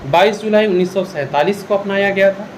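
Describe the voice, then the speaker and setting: A man with a high-pitched voice teaches in a question-and-answer style. He explains each answer and drops in English words as he goes. A steady air-conditioning hiss sits faintly behind him. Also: fairly quick, poor audio. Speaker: exam-prep history teacher.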